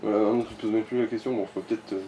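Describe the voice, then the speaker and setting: A man's voice: on the low side, steady tone, fast, mellow. Guy casually chatting along to someone's game, roomy echo, bit of background noise. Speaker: gaming companion commenting along. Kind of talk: casual chat over gameplay. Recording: echoey room with faint noise.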